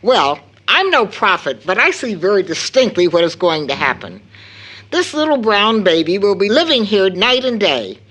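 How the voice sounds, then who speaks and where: A woman's voice: medium pitch, very animated, normal speed, fairly bright tone. A middle-aged woman acting a tired, stern but fond mother in a period audio drama, speaking with proper, precise, scolding phrasing. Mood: fondly scolding.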